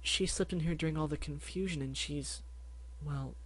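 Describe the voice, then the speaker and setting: A man voicing a timid, shy yellow pony mare who speaks softly and hesitantly. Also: low voice, voice pushed up. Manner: soft, hesitant, halting.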